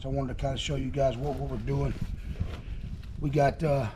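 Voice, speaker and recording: Hoarse man, casual and eager show-and-tell, noisy outdoor take. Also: medium pitch, little pitch movement, moderate pace. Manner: enthusiastic, repetitive, folksy. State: hoarse from illness. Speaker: trucking vlogger. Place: outdoors at a house.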